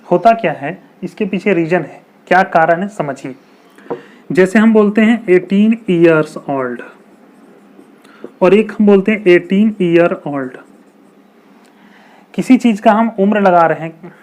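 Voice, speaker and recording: A man explaining common English mistakes in a video lesson, slowly repeating example sentences and asking rhetorical questions. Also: sits high, a little mellow. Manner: rhetorical questions, chatty teacherly asides. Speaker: English teacher.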